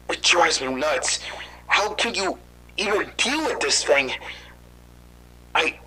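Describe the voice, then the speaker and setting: Frightened man, played by a man, rambling and anxious, bright edgy tone, amateur horror drama. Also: fairly high pitch, slight background noise, low-quality recording. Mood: panicked. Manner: anxious, rambling, swears when panicked. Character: frightened man hunted by monsters.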